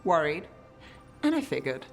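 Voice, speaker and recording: A woman's voice: low-pitched, sing-song, moderate pace, creaky, soft background music, heavily acted. A woman playing a cool, world-weary investigator in a video game, speaking in a dry, clipped way. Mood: wry, businesslike.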